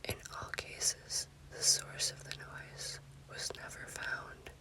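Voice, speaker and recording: Woman whispering a slow ASMR story. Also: rough recording. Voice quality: unvoiced, breathy whisper. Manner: slow, deliberate delivery in short phrases. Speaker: storyteller, host.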